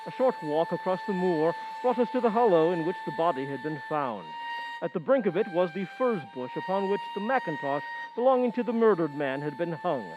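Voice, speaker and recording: A loyal gentleman companion narrates a radio mystery, acted by a man. His high voice has a measured storytelling flow, with music clearly behind it. The sound is a little dull. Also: lively intonation. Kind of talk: old-time radio drama narration. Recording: dull, band-limited sound.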